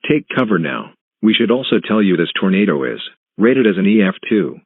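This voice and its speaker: A man's voice: fairly low pitch, animated, a little fast, no room sound. A man reading a scripted emergency broadcast announcement in a formal, stilted way. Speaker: announcer of news and emergency alerts. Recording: muffled phone-like lo-fi sound.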